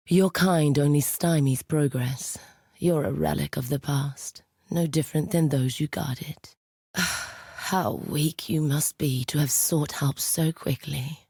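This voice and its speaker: A woman playing a commanding, coldly seductive necromancer villainess in a video game, speaking in a grandiose, deliberate, menacing way. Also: deep voice, dark tone, breathy edge, no echo, clean studio sound, heavily acted. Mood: cold, taunting.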